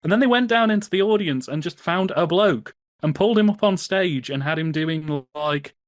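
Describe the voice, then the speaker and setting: A man in casual conversational commentary, telling anecdotes in an amused, animated way. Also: high-pitched, moderate pace, no room sound, clean studio sound. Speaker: podcast guest.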